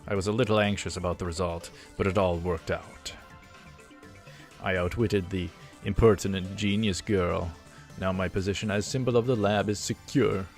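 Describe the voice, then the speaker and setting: A man's voice: low-pitched, steady tone, moderate pace. A man voicing a boastful, theatrical young lab leader in a pompous, grandiose way for a visual novel. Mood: smug. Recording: music behind the voice.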